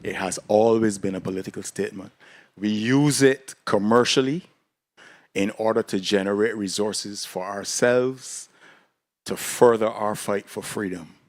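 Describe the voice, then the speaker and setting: A man from Barbados speaks on a discussion panel, weighing each phrase slowly and deliberately. His voice sits low and the sound is dry and close. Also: darkish tone, no room sound. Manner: slow, deliberate, measured. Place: conference hall panel.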